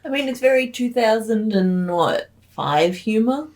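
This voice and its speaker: A woman's voice: a little high, lively intonation, a little slow, a little mellow. A woman co-hosting a commentary in casual, bantering conversation.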